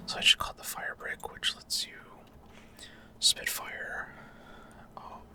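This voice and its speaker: A man speaks entirely in a breathy whisper, close to the microphone. He rambles casually through gameplay commentary for an ASMR video, often unsure of the details and feeling his way through each explanation. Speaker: gaming ASMR video host.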